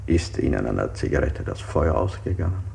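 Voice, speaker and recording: Unsettling radio-drama interrogator: gravelly, swooping intonation, insistent questioning, faintly hissy 1960s recording. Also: male voice, rumbling low, sing-song, brisk, darkish tone, heavily acted. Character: probing, unsettling male interrogator firing odd questions. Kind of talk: German radio play acting.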